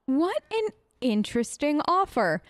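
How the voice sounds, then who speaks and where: A woman's voice: high voice, very animated, unhurried, no echo. A woman improvising casual in-character replies as a let's play host, chatty, informal and a bit rambling. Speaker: let's play host role-playing her game character.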